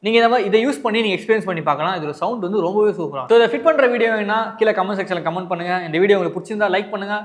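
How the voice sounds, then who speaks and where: A man's voice: high voice, racing, hardly any pauses. A man with an Indian Tamil accent presenting an unboxing and product review, explaining directly to viewers and mixing in English words.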